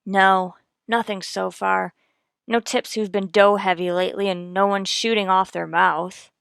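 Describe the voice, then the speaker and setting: A woman's voice: medium pitch, flat delivery, measured pace, vocal fry, a bit bright, smooth, dry recording, studio quality. A woman playing a dogged, businesslike police detective, narrating her case in a terse, matter-of-fact radio drama style. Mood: guarded, matter-of-fact.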